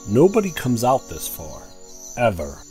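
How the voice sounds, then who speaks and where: An actor playing a suspicious, guarded druid who tends a forest, curt and formal in an audio drama. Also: male voice, mid-range voice, huge pitch swings, measured pace, darkish tone, faint music behind. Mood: distrustful.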